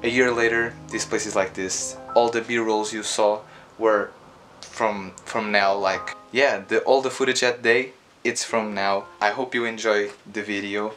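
A young man, narrating an urban-exploration vlog in an earnest, plain way, with a few halting restarts. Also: fairly low pitch, fairly even intonation. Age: young adult. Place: indoors, in a decaying abandoned house. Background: loud guitar music.

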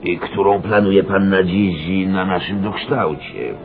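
A man's voice: deep voice, unhurried, faint music behind. An actor playing a fawning, muddled school lecturer in a satirical radio comedy, pompous and hesitant, garbling his sayings. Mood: hesitant, placating. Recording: dull narrow-band radio recording.

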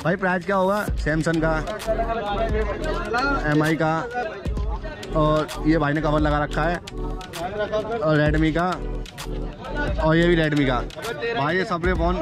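A man's voice: a little high, steady tone, takes it slowly, long pauses. Chatty young man touring a crowded market. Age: young adult. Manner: casual, chatty, repeats "matlab" often. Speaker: vlogger. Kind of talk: walking market tour vlog. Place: crowded outdoor street market. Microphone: action camera held at arm's length. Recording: loud crowd and music behind. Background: loud crowd and music.

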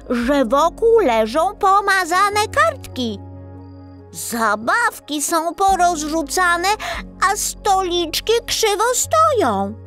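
A woman voicing a cheerful, kindly little star girl in a children's bedtime story, with a sing-song, expressive, childlike delivery. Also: high voice, pitched above the performer's own voice, heavily acted.

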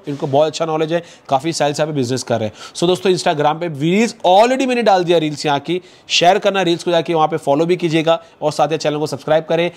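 A man in his thirties hosting a vlog, pitching clothes to viewers in quick, persuasive patter, recorded on a lapel mic in an indoor shop. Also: a little mellow. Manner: fast, persuasive salesman patter addressing viewers. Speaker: vlogger host. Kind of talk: promotional market vlog.

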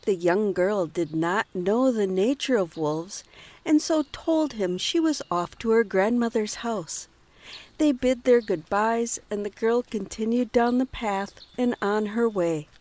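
Woman telling an old fairy tale, slow, medium pitch, storybook narrator. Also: darkish tone, no room sound.

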